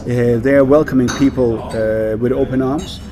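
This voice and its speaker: Danish man, halting, crowd behind. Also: medium pitch, steady tone. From Denmark. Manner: hesitant, many fillers and repeated words. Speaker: whisky brand ambassador. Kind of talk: casual interview.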